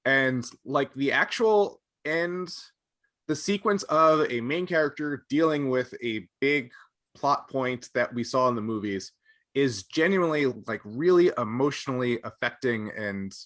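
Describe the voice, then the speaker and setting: A man's voice: medium pitch, lively intonation, measured pace, a bit bright. A man co-hosting a podcast, explaining his thoughts in a rambling, thoughtful way during a casual discussion. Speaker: podcast co-host.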